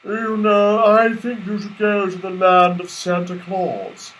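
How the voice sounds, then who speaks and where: A man's voice: high-pitched, fairly even intonation, slow, a bit bright, voice pushed up, low-quality recording. A man voicing a kindly, sentimental toy rabbit in a children's storybook, gushing and a little hesitant. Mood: eager, helpful.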